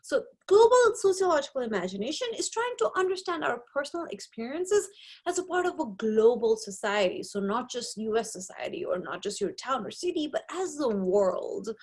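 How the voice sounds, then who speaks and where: Woman from Nepal, English as second language, young adult, lecturer, explanatory, higher voice, animated pitch. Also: a little fast, husky. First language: not English. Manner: explanatory, frequent tag "right?". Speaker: sociology lecturer. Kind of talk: recorded slide lecture. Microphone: webcam on a video lecture.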